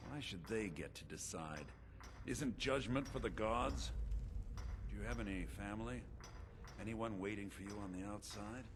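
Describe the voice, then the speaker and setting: An actor voicing an embittered, calculating rebel leader in prison in a video game, philosophical and quietly menacing. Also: male voice, on the low side, moderate pace, noisy background, close to an everyday voice. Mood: brooding, probing.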